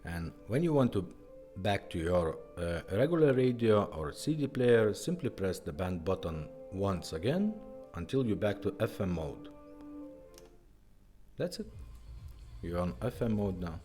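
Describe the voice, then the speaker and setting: A man who speaks English as a second language, giving a casual, halting product demonstration in simple phrases. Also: sits low, sing-song, dark tone, faint music behind. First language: not English. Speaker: presenter demonstrating restored car radios.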